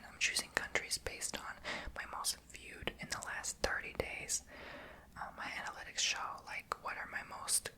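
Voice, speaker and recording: A woman's voice: pushed and bright, rough recording. A woman whispering in an ASMR video, chatty and rambling, who says she is tongue-tied and makes her r in her throat. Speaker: ASMR creator.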